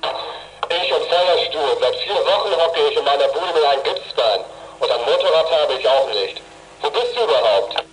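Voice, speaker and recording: A man's voice: fairly high pitch, animated, normal speed, a little hiss or hum, rough recording. A young male actor playing a bored, blunt teenage radio hobbyist talking over CB radio in a children's audio drama. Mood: blunt, indignant.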